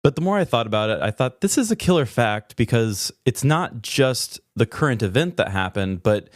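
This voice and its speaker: A man's voice: medium pitch, expressive, moderate pace, no room sound, clean studio sound. A man co-hosting a podcast in a casual, comedic way, telling stories and reading articles in a rambling, conversational manner. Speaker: podcast co-host.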